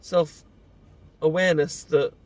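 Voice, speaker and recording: A British man playing an emotional husband leaving an abusive marriage, confiding haltingly in a close friend in an intimate, naturalistic audio roleplay. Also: a little high, fairly even intonation. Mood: amused, nostalgic. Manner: halting, confessional, sighing, informal and sweary.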